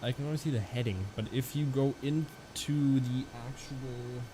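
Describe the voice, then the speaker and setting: A man's voice: medium pitch, normal speed, dark tone, a little hiss or hum, rough recording. A man live-streaming a flight simulator, chatting casually with viewers and rambling through fillers and restarts.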